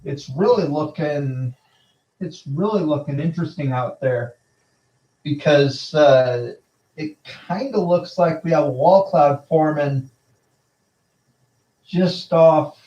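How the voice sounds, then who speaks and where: An American man giving a casual live weather update as a storm spotter, talking in a halting, unhurried way. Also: fairly high pitch, warm tone. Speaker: storm spotter, channel host. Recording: roomy, distant, narrow-band livestream sound.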